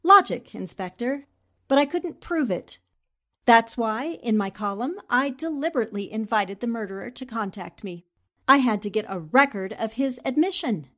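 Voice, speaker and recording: An actress playing a sharp, confident newspaperwoman sleuth in a 1940s-style radio drama, explaining in a deliberate, declamatory way. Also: a little high, animated.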